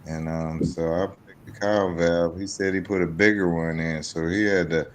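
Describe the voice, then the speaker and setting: A man's voice: sits low, fairly even intonation, moderate pace, a little hiss or hum. A man of fifty-eight talking casually and personally as a podcast guest.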